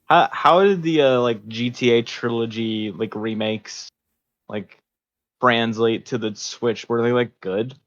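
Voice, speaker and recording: A man's voice: medium pitch, measured pace, dull audio. A man in casual podcast chat as a co-host, blunt and skeptical, swearing casually.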